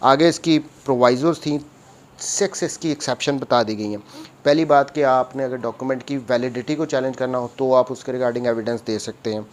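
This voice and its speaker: A man's voice: medium pitch, steady tone, normal speed. A man with a Pakistani accent giving an explanatory law lecture, mixing in English legal terms and repeating and rephrasing his points in a didactic way.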